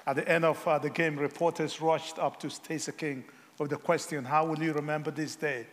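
A guest preacher, his voice sitting a little high for a man. He talks haltingly, filling gaps with small hesitations and wry jokes, and his words ring out in a hall with a long echo. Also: fairly even intonation. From Africa. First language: Yoruba. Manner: halting, frequent filler pauses, self-deprecating humor. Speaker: guest missionary speaker. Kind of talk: sermon.

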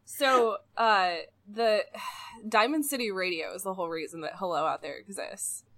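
A young woman from California, a podcast guest telling stories in a chatty, animated way. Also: mid-range voice, normal speed, a little hiss or hum. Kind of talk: casual chat.

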